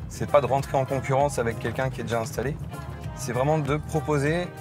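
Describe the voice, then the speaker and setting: Male, 30s, bar owner; mid-pitched, loose interview delivery with fillers, clear music in the background. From France. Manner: relaxed, explaining with pauses and fillers. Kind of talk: news interview. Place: outdoors at a rural bar event.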